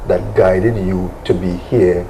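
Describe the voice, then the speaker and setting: Deep-voiced guy interviewing, lots of ums, hiss in the background. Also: fairly even intonation, slow. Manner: hesitant, filler-laden questioning. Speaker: talk show host, interviewer. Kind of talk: interview questions.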